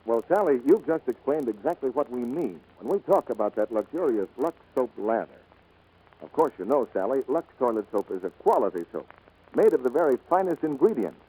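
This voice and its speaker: A man's voice: mid-range voice, very animated, brisk, breathy edge, phone-quality sound. A man announcing and reading scripted sponsor messages in the style of 1940s radio. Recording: vintage 1940s radio recording.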